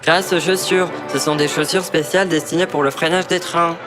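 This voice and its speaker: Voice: mid-range voice, fairly even intonation, a little fast, music in the background, lightly acted. An actor voicing a knowledgeable young male train in a French children's cartoon. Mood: matter-of-fact.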